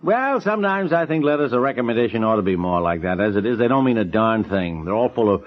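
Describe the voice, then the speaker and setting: A man's voice: lowish voice, animated, fast, natural voice. A man playing a wry, grumbling husband in a 1950s radio comedy, sardonic and exasperated in manner. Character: grumbling, wry husband, reluctant uncle of a nephew. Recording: dull vintage 1950s radio recording.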